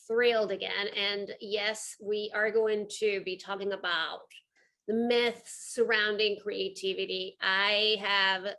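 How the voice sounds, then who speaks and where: A woman's voice: medium pitch, flat delivery, takes it slowly, bright, forceful tone, low-quality recording. A woman with a Venezuelan accent giving a conversational talk to an audience as a guest speaker, earnest and emphatic. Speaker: guest speaker, art curator and entrepreneur.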